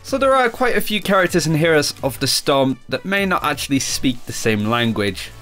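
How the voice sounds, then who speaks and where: A man's voice: a little high, very animated, normal speed, fairly bright tone, soft background music. A man hosting a gaming video with chatty, tongue-in-cheek commentary. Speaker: YouTube gaming host. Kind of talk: scripted-casual game commentary narration.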